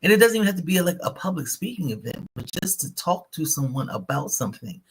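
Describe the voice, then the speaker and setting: A woman co-hosting a spiritual live show, chatting casually and telling stories in a lively, animated way. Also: low-pitched, quick pace, husky, poor audio. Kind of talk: casual live-stream chat.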